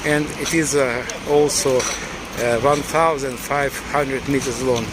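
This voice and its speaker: A man from eastern Ukraine with a Ukrainian accent, giving a halting live walking tour of city streets with many fillers. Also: mid-range voice, fairly even intonation. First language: Russian. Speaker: livestream tour guide. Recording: loud traffic noise outdoors. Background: loud street and vehicle noise.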